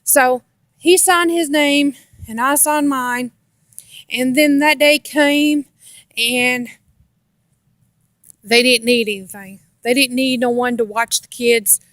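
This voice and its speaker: A woman's voice: high-pitched, steady tone, slow pace, pauses often, fairly bright tone, no room sound, clean studio sound. An American woman telling a casual personal story in a rambling, repetitive way with folksy grammar. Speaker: storytelling vlogger.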